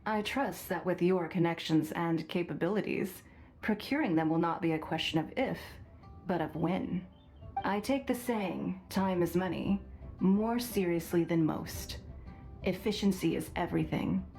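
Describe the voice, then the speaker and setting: An actress playing a poised, shrewd noblewoman in a video game, speaking in a formal, measured, authoritative way. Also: fairly low pitch, a little mellow, soft background music. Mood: measured, assured. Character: poised, shrewd, wealthy noblewoman and business leader.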